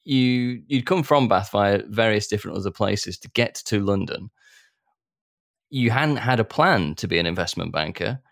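Host: man, low gravelly voice, dry close sound, curious interviewer tone. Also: lively intonation, normal speed, fairly bright tone, dry recording. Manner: curious, asks follow-up questions. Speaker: podcast host. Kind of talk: conversational interviewing.

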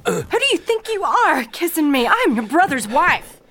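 An actress with a Southern US accent playing a guarded, sharp-tongued young married woman from the 1930s in an old-time radio drama. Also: high-pitched, sing-song, moderate pace, noisy background. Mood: indignant. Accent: American South.

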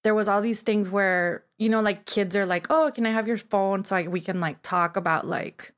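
Woman, middle-aged, from Mexico. Interview guest, informal storyteller, medium pitch, lots of "like". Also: fairly even intonation, moderate pace. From Tijuana, Mexico. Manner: informal, peppers speech with "like". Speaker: guest, artist and designer. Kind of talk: casual personal storytelling interview.

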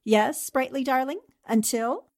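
Woman acting a newspaper columnist, high voice, self-assured, quick-witted, vintage radio drama style. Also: a little mellow. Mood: teasing. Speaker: voice actor.

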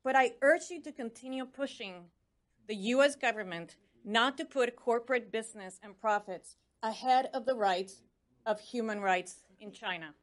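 A woman's voice: on the high side, moderate pace. Spanish-accented woman giving an earnest speech. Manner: earnest, urging, stumbles over foreign names. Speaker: commissioner on religious freedom. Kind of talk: prepared public speech.

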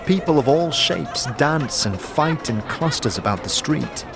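A man's voice: on the high side, lively intonation, a little slow, vocal fry, music in the background. A man giving dramatic, theatrical scripted narration for a fantasy audio drama, telling the story vividly in the second person.

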